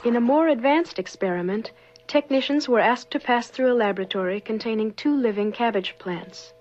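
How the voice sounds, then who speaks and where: A woman delivering scripted documentary narration in a formal, measured manner. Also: a little high, darkish tone. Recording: dull lo-fi old film soundtrack. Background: music.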